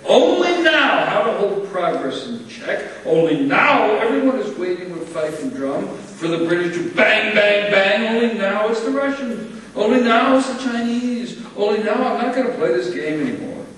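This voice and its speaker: A man recites poetry in a theatrical, declamatory style, taking his time over each phrase. His fairly high voice rings through a large church, picked up from a distance with long reverb. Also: sing-song, a bit bright. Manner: theatrical, declamatory, drawn-out emphatic phrasing with dramatic pauses. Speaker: poet reading aloud at a poetry event. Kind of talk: dramatic spoken-word poetry reading. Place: large church interior, live reading. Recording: very echoey church hall, far-off mic, faint noise.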